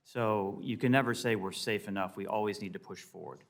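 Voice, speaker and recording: A man's voice: fairly low pitch, fairly quick, vocal fry. An American man, an airline pilot, giving unscripted, emphatic and explanatory testimony at a hearing.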